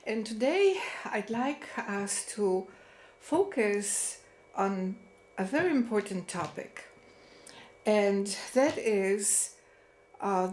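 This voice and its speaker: Woman, host, reflective monologue, very slow pace, frequent pauses, echoey room. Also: mid-range voice, darkish tone, slight background noise.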